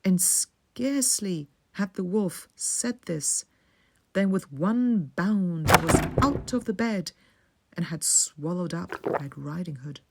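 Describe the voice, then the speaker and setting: A woman storyteller narrating a fairy tale for sleep in a soothing, measured way, often hushing the ends of phrases. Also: on the low side, animated, gravelly. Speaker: bedtime storyteller.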